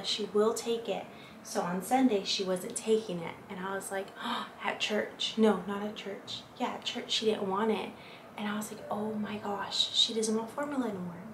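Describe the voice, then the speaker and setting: A young woman vlogging at home, chatting casually to the camera with many fillers, recorded in her kitchen. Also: mid-range voice. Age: young adult. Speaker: lifestyle vlogger. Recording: echoey room with clear background noise.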